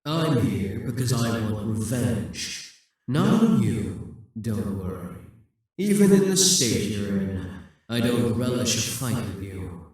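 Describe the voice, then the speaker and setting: A man playing a brooding, possessive demon king in a scripted audio roleplay, speaking deliberately with condescending grandeur. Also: medium pitch, sing-song, darkish tone. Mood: brooding, persuasive. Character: possessive, brooding demon king risen from death, seductive and imperious. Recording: echoing, reverberant, distant from the mic.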